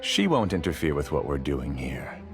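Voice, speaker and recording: A game villain-scholar with a low voice and lively, swinging intonation over faint music. He lectures with articulate, theatrical self-assurance, coolly justifying his obsession. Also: moderate pace, heavily acted. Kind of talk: video game dialogue acting.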